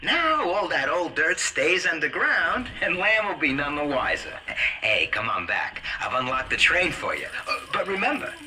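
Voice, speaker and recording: An actor playing a shifty, cowardly schemer in a video game, with a slippery, wheedling manner. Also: male voice, fairly high pitch, sing-song, normal speed, background noise. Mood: relieved, smug. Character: shifty, scheming, cowardly male security-booth weasel.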